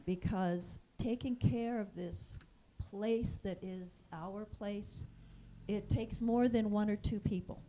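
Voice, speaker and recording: A middle-aged woman making a church announcement into a handheld microphone, soft-spoken and hesitant, thinking aloud as she goes. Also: medium pitch, poor audio. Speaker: facilities chair. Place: church sanctuary. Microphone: handheld mic.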